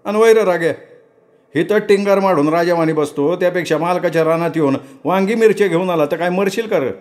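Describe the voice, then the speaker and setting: A man doing a loud, pushy village farmhand. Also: high voice, brisk, pitched above the performer's own voice, lightly acted. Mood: boisterous, coaxing. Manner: loud, coaxing banter. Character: loud, chatty male farmhand, pushy and boastful.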